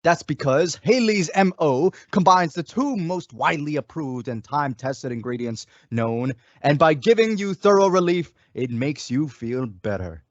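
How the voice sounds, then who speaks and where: A man's voice: fairly high pitch, animated, normal speed, hardly any pauses, no echo. A man reading a scripted old-time radio commercial as an announcer, with an emphatic sales delivery. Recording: dull lo-fi radio recording.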